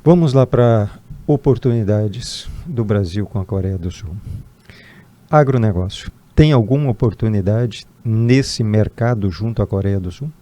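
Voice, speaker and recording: A man's voice: lowish voice, a little slow, warm tone, dry recording. A man hosting an interview, asking long formal questions and giving brief murmurs of agreement. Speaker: interviewer, host.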